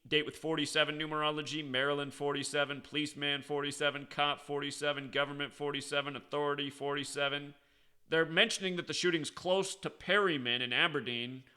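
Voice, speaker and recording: Bright, crisp-voiced American man commentating. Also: a little high. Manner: insistent, sarcastic, repeating key words for emphasis. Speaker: online commentator and video host. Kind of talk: unscripted explanatory commentary.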